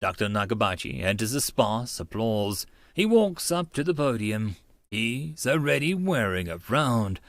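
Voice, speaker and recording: A man's voice: fairly low pitch, sing-song, measured pace, no echo. A man narrating a first-person story in a dramatic audiobook style.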